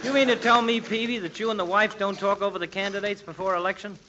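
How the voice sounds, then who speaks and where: Folksy American barber, chatty, opinionated, high sharp voice, vintage radio comedy, hissy recording. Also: male voice, steady tone, fast talker. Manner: garrulous, folksy. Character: chatty, opinionated, easygoing small-town male barber. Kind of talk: 1940s radio comedy acting. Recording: muffled old radio broadcast with hiss.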